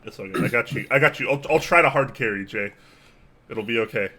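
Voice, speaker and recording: A man co-hosting a casual keyboard podcast, relaxed and upbeat, with plenty of fillers. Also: medium pitch, fast. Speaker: podcast co-host. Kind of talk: casual chat about keyboards.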